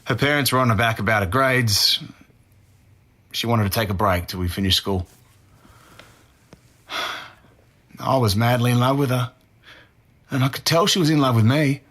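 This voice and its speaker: Australian suspect, twenties, mid-pitched, blunt and defensive but earnest, naturalistic audio drama. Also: male voice, a little slow, long pauses, fairly bright tone. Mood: earnest, pained. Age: 20s. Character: defensive young Australian man, a murder suspect giving an interview.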